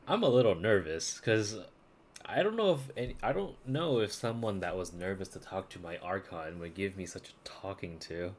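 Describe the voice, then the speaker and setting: A man's voice: sits low, lively intonation, normal speed. A man giving casual, rambling live game-stream commentary, chatting loosely and often repeating his words.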